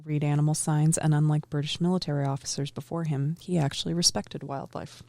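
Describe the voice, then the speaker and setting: A woman's voice: sits low, flat delivery, a little fast, dark tone, no room sound. A woman guest telling a true story on a casual podcast, now and then apologizing as she learns the format. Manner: apologetic, self-deprecating.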